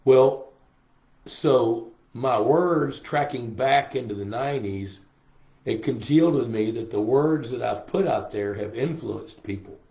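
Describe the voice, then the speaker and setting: An older man, a little hoarse, chatting casually with livestream viewers in a folksy way. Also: medium pitch, measured pace, warm tone. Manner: chatty, folksy, reads viewer comments aloud. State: hoarse from a lingering cough. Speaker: host. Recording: roomy echo on a live stream.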